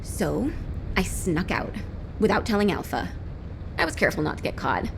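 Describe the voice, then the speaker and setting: A woman's voice: fairly low pitch, very animated, normal speed, creaky, noisy background. A woman voicing a free-spirited young female musician who is dreamy and sassy, talking about music and feelings. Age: young adult. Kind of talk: video game cutscene voice acting. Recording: echoey with music behind.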